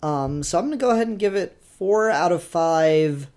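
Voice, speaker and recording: A man's voice: fairly high pitch, lively intonation, normal speed, a little mellow. A man co-hosting a podcast, talking casually and informally as he reviews. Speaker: podcast co-host reviewing fan films. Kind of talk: casual chat, review discussion.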